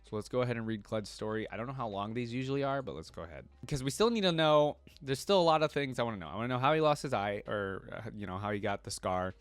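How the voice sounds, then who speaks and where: A man's voice: mid-range voice, animated, quick pace, few pauses, no echo. A man giving casual reaction commentary as a video host, thinking aloud in an informal way.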